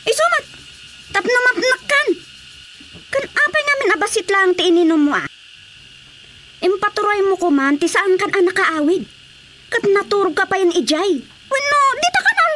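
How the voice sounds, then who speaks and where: A woman's voice: very high-pitched, animated, normal speed, pauses often, pushed and bright, heavily acted. A woman with an Ilocano accent playing an anxious, nagging woman in a radio drama. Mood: angry, sarcastic. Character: anxious, scolding woman fussing over an ailing drinker. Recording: faint background noise.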